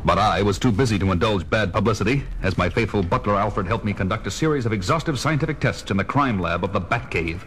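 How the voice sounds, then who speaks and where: Guy doing a stern american superhero detective, lowish voice, formal, 70s kids record drama, really noisy rumbly recording. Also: animated, fast, few pauses, close to an everyday voice. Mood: grave, intent. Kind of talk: 1970s children's audio drama, narrated log. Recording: noisy old vinyl record, background rumble.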